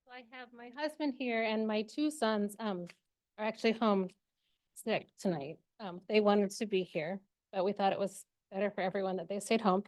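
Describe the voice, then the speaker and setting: A woman's voice: medium pitch, moderate pace, a little mellow. A middle-aged woman giving a prepared acceptance speech as a parent volunteer. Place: meeting room, speaking at a microphone.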